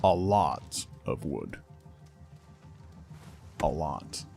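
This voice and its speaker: A man's voice: extremely low pitch, very animated, very slow, vocal fry, mellow. A man chatting casually as he streams a game, thinking aloud in short fragments. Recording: music behind the voice. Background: music.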